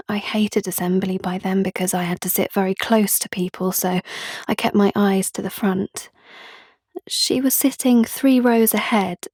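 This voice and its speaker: An anxious, clever young British woman confides in the listener in an audio drama monologue. Her voice sits at a middle pitch and is recorded very dry and close. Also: a little mellow, dry recording. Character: anxious, guilt-ridden, clever young woman data analyst.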